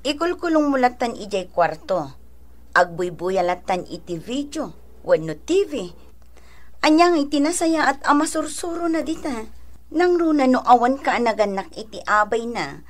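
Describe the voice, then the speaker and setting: An actress playing a sensible mother and elder sister who gives gently admonishing advice in a radio drama. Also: a little high, sing-song, moderate pace. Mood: chiding. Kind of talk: Ilocano radio drama acting.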